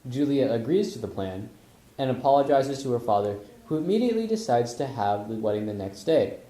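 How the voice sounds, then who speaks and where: A man's voice: medium pitch, lively intonation, a little fast, dark tone. A man narrating a comic storybook script with casual slang, recorded in an echoey room.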